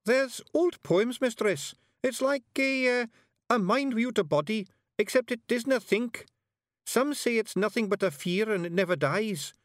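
A man voicing a tiny, nervous, learned young fairy bard, squeaky and earnest. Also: unhurried, no echo, studio quality. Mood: hesitant.

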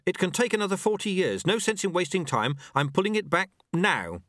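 A man's voice: high voice, big pitch swings, brisk, a bit bright, no echo, studio quality, voice pushed up. A man voicing an earnest, anxious young male novice in an audiobook, hesitant and eager. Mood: earnest, focused. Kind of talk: audiobook character voice.

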